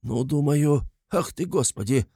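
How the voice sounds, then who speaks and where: A man's voice: on the high side, unhurried, gravelly, warm tone, breathy edge, no room sound, studio quality, pitched above the performer's own voice, exaggerated voice. A man playing a wily, fussy police investigator from a classic novel, falsely fatherly, rambling and coaxing in an obsequious way. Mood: fussy concern.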